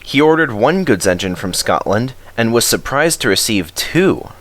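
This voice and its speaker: A man reading a children's story aloud in an expressive scripted narration style. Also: mid-range voice, normal speed.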